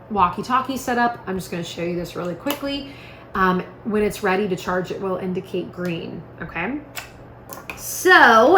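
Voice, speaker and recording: A woman's voice: mid-range voice, pushed and bright. A woman giving a casual product demonstration in an upbeat, informal, chatty way. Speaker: product presenter. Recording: echoey room with faint noise.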